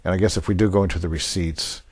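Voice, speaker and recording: Deep-voiced man walking through software. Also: steady tone, quick pace, husky, no echo. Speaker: software demonstrator. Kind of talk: screen-share software walkthrough, unscripted.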